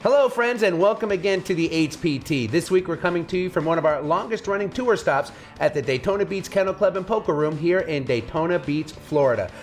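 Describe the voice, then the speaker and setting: A man's voice: a little high, animated, a little fast, hardly any pauses. A man hosting a televised poker tournament with upbeat broadcast presentation and commentary. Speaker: poker show host and commentator.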